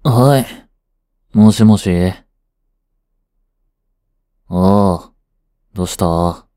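An aloof teenage boyfriend, acted by a man in a romantic audio drama. His voice is low and very mellow, with an unhurried, nonchalant delivery. He teases curtly and dryly, hiding his fondness. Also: no echo, clean studio sound. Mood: dry, teasing. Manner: cool, curt, nonchalant teasing. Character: aloof, dry, secretly fond teenage boyfriend. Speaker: voice actor. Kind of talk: romantic situation voice drama, one-sided dialogue.

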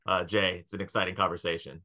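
A man in his thirties with a slightly low voice hosts a podcast. He introduces and questions in a casual, conversational style from a room on a video call. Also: flat delivery, quick pace, background noise. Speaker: podcast host. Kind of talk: casual interview hosting.

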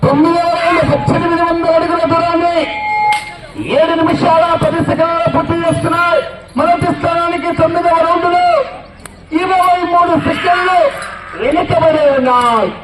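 A man commentating on a rural race as live announcer, calling out excitedly with drawn-out whoops and repeated calls. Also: very high-pitched. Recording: noisy echoing loudspeaker outdoors, loud crowd. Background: loud crowd and noise.

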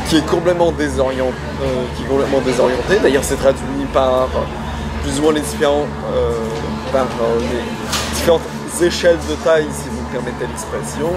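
A young man giving an opinion to camera, hesitant and searching for words in formal phrasing. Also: mid-range voice. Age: young adult. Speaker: vox pop interviewee. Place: indoor hall by large window.

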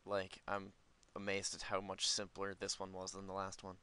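Low-voiced man rambling through gameplay, crisp sound. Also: completely flat delivery, normal speed, pushed and bright. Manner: rambling, thinking aloud. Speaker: gaming video host. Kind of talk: casual, unscripted gameplay commentary.

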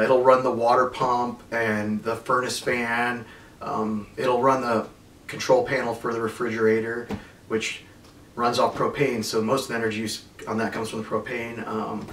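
A man in his thirties unboxing and reviewing camping gear at home, talking in a relaxed, plain way with many ums. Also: medium pitch. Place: carpeted living room at home. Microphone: far camera. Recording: roomy, from far off, faint noise.

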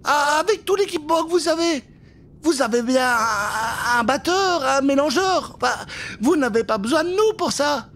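Young heroine of a fairy tale, voiced by a man: high, urgent, pleading, brave. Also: lively intonation, normal speed, a bit bright, heavily acted. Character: young girl, brave and pleading older sister.

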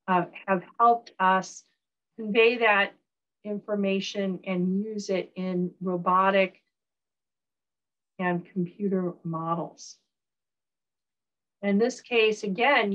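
A woman professor with a Southern US accent giving a recorded online lecture, relaxed, with frequent ums and uhs. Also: medium pitch, muffled recording.

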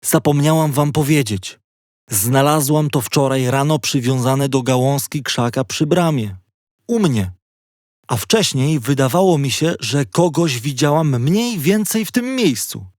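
A man's voice: mid-range voice, expressive, unhurried, dry recording, clean studio sound, natural voice. A man voicing a secretive, insistent young girl who confides in a conspiratorial manner in a children's audiobook. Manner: hushed, conspiratorial.

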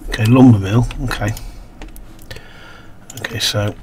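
Croaky-throated man narrating a game. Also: fairly low pitch, fairly even intonation, measured pace, darkish tone, a little hiss or hum. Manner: mumbles to himself while playing, reads game text aloud. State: croaky throat, slight cough. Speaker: gaming video host. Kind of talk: casual let's-play commentary.

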